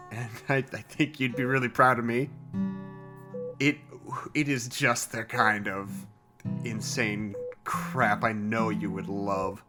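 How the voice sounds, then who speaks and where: An actor playing an earnest, resentful male scientist in an audio drama, confiding in a halting, rambling way. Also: mid-range voice, natural voice. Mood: wistful, fond. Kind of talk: audio drama monologue, naturalistic.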